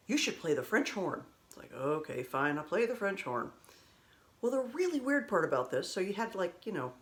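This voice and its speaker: Low-voiced lady rambling through old stories, chatty, laughs a lot. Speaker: vlogger. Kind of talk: casual storytelling.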